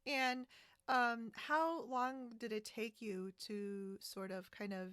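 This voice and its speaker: An American woman chatting casually as a podcast host, with a halting, wandering delivery. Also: on the high side, steady tone, a bit bright, clean tone, dry recording, clean studio sound. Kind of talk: casual interview chat about a hobby. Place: remote split-screen video call.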